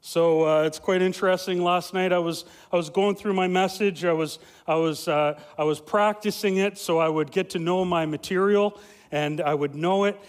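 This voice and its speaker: Young adult male family pastor, loud, lively sermon with many filler-laden restarts, high voice, long church-hall reverb. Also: moderate pace, clear, resonant tone. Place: church sanctuary.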